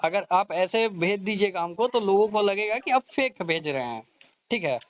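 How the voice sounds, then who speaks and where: A man's voice: sits high, expressive, moderate pace. A man interviewing informally by phone, probing and repeating answers back. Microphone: phone call. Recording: thin narrowband phone call recording.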